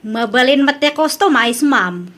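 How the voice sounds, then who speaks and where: A woman's voice: high-pitched, lively intonation, a little slow, fairly bright tone. A woman with an Ilocano accent acting a polite village woman, friend and cake maker, in an audio drama. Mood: polite, businesslike.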